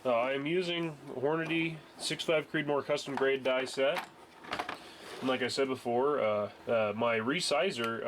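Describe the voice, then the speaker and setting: A man's voice: medium pitch, slight background noise. Congested young man rambling at a workbench. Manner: rambling, many fillers and restarts. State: congested from a cold. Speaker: home reloading hobbyist. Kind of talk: casual workbench tutorial. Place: garage workbench, indoors.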